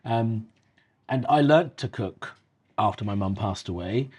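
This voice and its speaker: A man in his thirties hosting a relaxed chat over a meal, talking in a reflective way. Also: fairly low pitch, a little slow, breathy edge. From England. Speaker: host.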